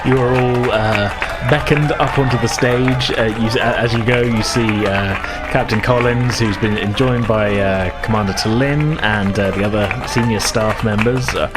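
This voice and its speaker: A man narrating a tabletop game as game master, improvising his descriptions in a halting, hesitant way. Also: lowish voice, loud music behind the voice, over a noisy crowd.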